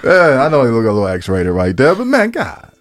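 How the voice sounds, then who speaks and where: A man's voice: mid-range voice, wildly swooping pitch, a little fast, no echo. An American man co-hosting a podcast, chatting casually with slang and profanity, teasing and repeating phrases for emphasis. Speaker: podcast co-host. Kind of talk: casual bantering chat with profanity.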